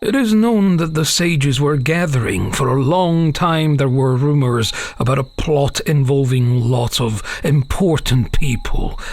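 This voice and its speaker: A man's voice: fairly high pitch, a little mellow. A man playing an uneasy half-elven father who tells a dramatic tale to his young daughter in a fantasy audio drama. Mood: mysterious, grave. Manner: hesitant openings, dramatic storytelling.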